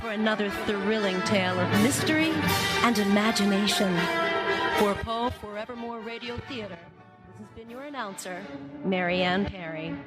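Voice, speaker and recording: A woman's voice: medium pitch, moderate pace. A woman announcer reading a scripted radio theater announcement in a formal, dramatic way. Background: loud music.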